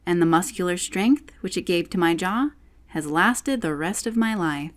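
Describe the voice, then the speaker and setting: A woman's voice: fairly low pitch, normal speed, natural voice. A woman voicing a cantankerous, boastful old father who recites storybook verse in a brusque, declamatory manner.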